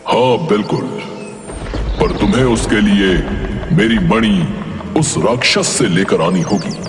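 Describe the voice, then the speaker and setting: A slow, low-voiced tree spirit played by a man, an angel under a curse, gruff and solemn. The cartoon voice rings out in long, echoing reverb, commanding and unhurried. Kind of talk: Hindi cartoon story voice acting. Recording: echoing hall reverb, faint music behind. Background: music.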